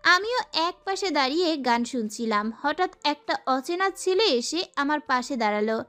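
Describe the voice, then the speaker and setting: A young woman performs a sassy, girlish heroine, telling a romance audio story. Her voice is very high and bright, with lively swings in pitch and an expressive, feisty delivery. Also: normal speed, soft background music. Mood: dramatic, musing. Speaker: narrator and lead actress. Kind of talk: dramatised first-person romance story narration.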